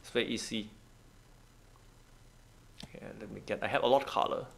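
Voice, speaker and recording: Man with creaky voice, halting game commentary. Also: lowish voice, steady tone, fairly bright tone. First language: not English. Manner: halting, repetitive short phrases. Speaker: gaming guide host. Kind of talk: casual gameplay walkthrough commentary.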